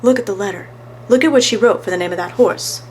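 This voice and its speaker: Lady doing observant boy sidekick, storybook detective, middle pitch, faint noise. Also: quick pace, a little mellow, lightly acted. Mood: confiding. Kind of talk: children's storybook character voice.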